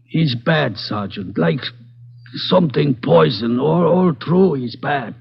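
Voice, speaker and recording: A bitter old father in a 1940s radio play, speaking slow, broken English with a husky, breathy voice. The sound is dull and muffled, like an old radio recording. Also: on the high side, lively intonation, exaggerated voice. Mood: grave, bitter. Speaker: grieving father.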